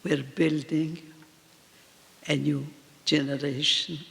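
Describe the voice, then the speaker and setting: A woman in her eighties, a native Polish speaker, speaking in slow, halting short phrases as a guest at a live talk in a reverberant hall. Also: low-pitched, flat delivery, creaky, a bit bright. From Poland. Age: 80s. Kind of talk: answering on stage in a live talk. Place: event hall with audience.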